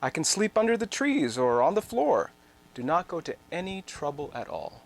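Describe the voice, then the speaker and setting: A man's voice: fairly high pitch, very animated, normal speed, slight background noise. A man reading scripted narration and quoted speech as the narrator of a dramatic reading.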